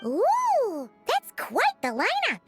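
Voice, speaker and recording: A woman voicing a small, childlike, cheerful fairy companion in an animated video game style, with music behind her. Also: extremely high pitch, takes it slowly, heavily acted. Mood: impressed.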